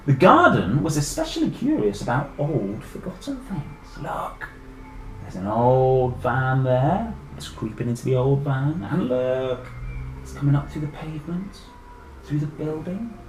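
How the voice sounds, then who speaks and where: In an echoey room with faint noise behind him, a British man reads a children's picture book aloud. His delivery swings up and down in a very lively way. He breaks off for enthusiastic, chatty asides straight to his young audience. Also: medium pitch. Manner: enthusiastic, exclaiming and addressing young listeners directly.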